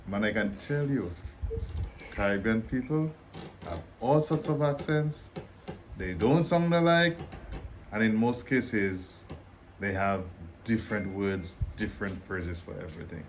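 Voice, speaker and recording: A man from Dominica with a Dominican accent, explaining in a relaxed, joking way as co-host of a casual vlog. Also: on the low side, unhurried, slight background noise.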